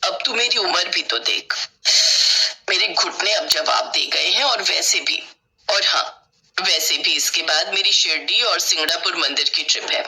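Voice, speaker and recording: A woman's voice: fairly low pitch, measured pace, bright, forceful tone, voice pushed down, poor audio. A woman voicing a superstitious older mother who complains bluntly in a family story. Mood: grumbling refusal. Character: grumbling, superstitious older mother with bad knees.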